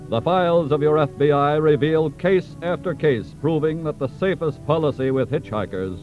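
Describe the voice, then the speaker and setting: A man giving a solemn, measured, scripted narration for a 1940s radio crime drama. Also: on the high side. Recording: dull old radio broadcast with music.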